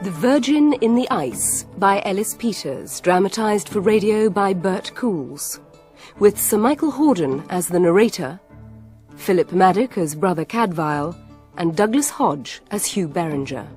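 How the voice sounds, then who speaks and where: A British woman with a mid-pitched voice makes a formal radio announcement. Her delivery is scripted and measured, with soft music underneath. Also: animated. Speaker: continuity announcer.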